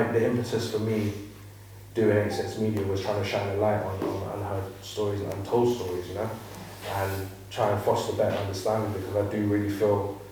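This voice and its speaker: A man's voice: on the low side, a little mellow. A man speaking on a panel as a filmmaker, reflective and rambling with many fillers. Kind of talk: panel discussion talk. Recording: echoey room, mic far off, faint noise.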